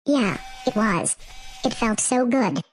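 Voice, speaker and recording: A woman's voice: fairly high pitch, expressive, slow pace, faint music behind. A woman playing a sardonic, easily exasperated cyborg lawyer in a sci-fi audio drama, politely menacing. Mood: smug.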